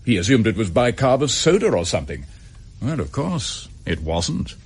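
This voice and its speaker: An actor playing a dutiful English chief inspector in a radio drama, clipped and businesslike. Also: male voice, lowish voice, big pitch swings, moderate pace, husky, exaggerated voice. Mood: explaining, thoughtful.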